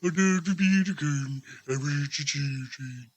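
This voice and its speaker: A man's voice: a little high, unhurried, slightly rough, no room sound. A man voicing a solemn spirit who gives grave warnings in a storybook reading.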